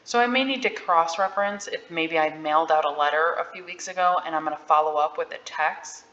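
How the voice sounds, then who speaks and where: A woman giving a casual, candid software walkthrough in an informal, unscripted way. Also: sits low, a little fast. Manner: informal, candid about not being an expert. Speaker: realtor giving a software tutorial. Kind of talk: casual screen-share walkthrough. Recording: dull, roomy-sounding audio.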